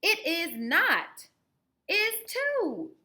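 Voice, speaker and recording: An indignant little storybook schoolboy, as a woman reader puts him on. His voice is high and forceful, demanding and full of protest. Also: big pitch swings, extremely slow, heavily acted. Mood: heated protest.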